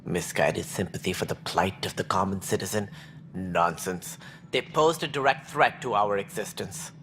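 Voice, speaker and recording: An actor playing a stern, proud alien administrator in a video game, speaking in formal, measured, clipped phrases. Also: male voice, deep voice, fairly bright tone, a little hiss or hum. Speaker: alien colony director.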